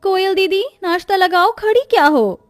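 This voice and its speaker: Woman acting a shrill, haughty young sister. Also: normal speed, no echo. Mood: snooty, indignant. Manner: whiny, taunting, snooty. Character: vain, haughty, spiteful young pretty sister and daughter-in-law. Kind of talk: cartoon moral story voice acting.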